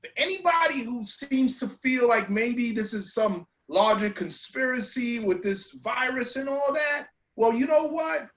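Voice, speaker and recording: A man's voice: very high voice, expressive, a little slow, few pauses, a bit bright. A man from New York co-hosting a legal talk show, making earnest, emphatic appeals. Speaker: lawyer co-host. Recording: echoey dull remote audio.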